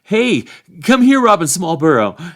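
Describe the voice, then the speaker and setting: A man's voice: mid-range voice, big pitch swings, normal speed, voice pushed up. A man reading a storybook, voicing a loyal, hot-tempered rustic young hobbit who scolds bluntly in folksy speech. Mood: scolding.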